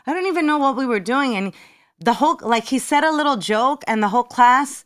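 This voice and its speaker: A woman from New Jersey, a TV and radio personality, telling lively anecdotes as a guest and acting out what others said. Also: high voice, fairly quick. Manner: lively anecdotal, acts out quoted voices. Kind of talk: casual chat.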